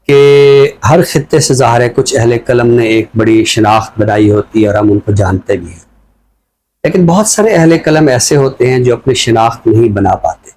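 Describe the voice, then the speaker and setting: Deliberate Pakistani man, literary speaker. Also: medium pitch, darkish tone. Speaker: literary show presenter reciting a poem and introducing a book. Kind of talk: poetry recital and literary talk.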